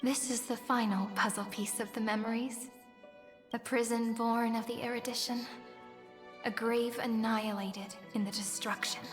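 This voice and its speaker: An actress voicing a gentle, wistful young woman who tells of memories in soft, breathy, poetic lines for a video game. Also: fairly high pitch, level tone, moderate pace, natural voice. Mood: wistful, revealing. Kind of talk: scripted video game drama. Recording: long echoing reverb, music behind.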